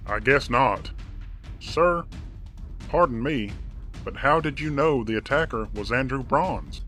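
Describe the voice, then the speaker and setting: A man's voice: mid-range voice, lively intonation, unhurried, a bit bright, faint music behind. A man playing an earnest, polite superhero in an audio drama.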